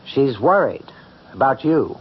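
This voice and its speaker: A man's voice: mid-range voice, sing-song, measured pace, husky, exaggerated voice. An actor playing a concerned, questioning male confidant in a 1970s radio drama. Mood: probing, concerned. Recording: dull 1970s radio broadcast, faint noise.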